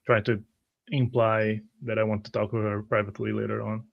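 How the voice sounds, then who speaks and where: A man playing a tabletop game, haltingly describing his character's actions over a dull, muffled microphone. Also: lowish voice, little pitch movement, gravelly. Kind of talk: first-person roleplay narration.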